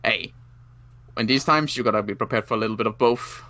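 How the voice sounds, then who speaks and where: A man playing a wounded sniper survivor in an improvised zombie roleplay game, speaking in a halting, defensive way. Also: fairly low pitch, pushed and bright. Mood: weary, proud.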